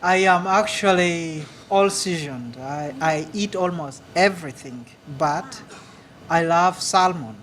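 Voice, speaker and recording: A man from Uganda with a Ugandan accent, answering audience questions in a halting, earnest way at a live speaking event. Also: a little high, fairly bright tone, a little hiss or hum. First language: a Ugandan language. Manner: earnest, halting, repeats words. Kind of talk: public speaking Q&A.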